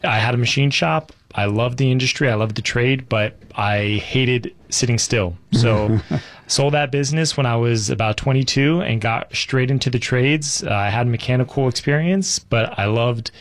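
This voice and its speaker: A man from Israel speaks as a guest on a radio show. He answers in a relaxed, plain-spoken way, and the recording is dry. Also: medium pitch, normal speed, dry recording. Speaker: guest, business owner. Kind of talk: radio interview.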